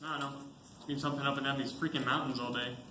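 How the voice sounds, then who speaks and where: A man's voice: mid-range voice, level tone, fast talker, natural voice. A man playing an informal, griping young army soldier in an amateur stage play. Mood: joking, griping. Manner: informal, grumbling. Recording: echoey stage with clear background noise.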